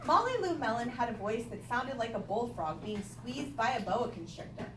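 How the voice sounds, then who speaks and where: Animated, high-voiced woman teaching in echoey room. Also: moderate pace. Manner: instructional, questioning, repeating children's answers. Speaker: elementary school teacher. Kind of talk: read-aloud lesson to young children with questions. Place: classroom with young children. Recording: echoey room, distant mic, noisy background.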